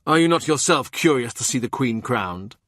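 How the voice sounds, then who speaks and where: A man's voice: mid-range voice, lively intonation, normal speed, fairly bright tone, no room sound, studio quality. A man voicing a shrewd, wry and persuasive royal adviser with a courteous, probing manner in a historical audiobook.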